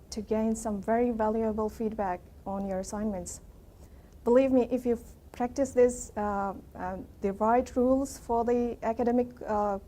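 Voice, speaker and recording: Young woman student, warm, relaxed tone, encouraging advice-giver. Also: on the high side. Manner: earnest, encouraging. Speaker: university student.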